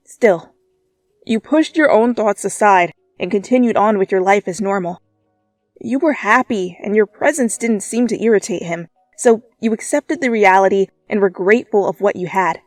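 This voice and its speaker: A woman reading fan fiction as dramatic storytelling, with an expressive, playful delivery. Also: mid-range voice, normal speed, no echo. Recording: background music under voice. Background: music.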